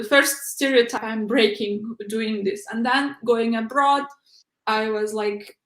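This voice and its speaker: A woman's voice: fairly high pitch, roomy sound, rough recording. A young woman from Uzbekistan, a non-native English speaker, talking as a guest in a casual interview with many fillers and restarts. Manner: halting, frequent "like" and "uh" fillers. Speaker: interview guest, PhD researcher.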